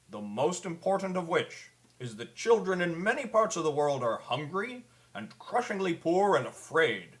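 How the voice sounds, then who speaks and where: Man playing an animated, earnest old Santa Claus. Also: on the high side. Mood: concerned, grave. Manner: earnest, pleading storyteller delivery. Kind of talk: scripted public address.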